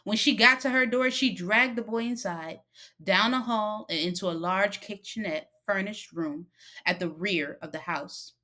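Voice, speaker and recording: A woman narrating a short story expressively, with dramatic pauses and emphasis. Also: medium pitch, pushed and bright. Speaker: story reader.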